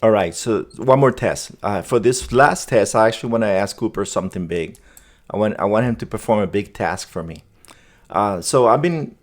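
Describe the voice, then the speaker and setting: A man hosting a casual, conversational demo, talking viewers through each step as he goes. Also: fairly low pitch. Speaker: host demonstrating an AI agent setup. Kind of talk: casual screen-demo narration.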